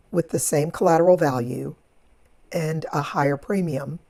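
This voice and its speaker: A hoarse woman with a rough, scratchy voice, recovering from laryngitis, methodically walking through an investing trade as the channel's host. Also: low voice. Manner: methodical, steady explaining of trade steps and figures. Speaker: financial educator and channel host. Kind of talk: screen-recorded investing walkthrough.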